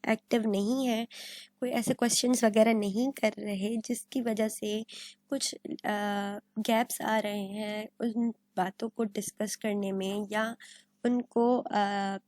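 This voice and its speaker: A woman's voice: mid-range voice, little pitch movement, slow, a little mellow, no room sound, studio quality. A woman lecturing on legal material, explaining point by point and mixing in English terms. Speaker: law lecturer.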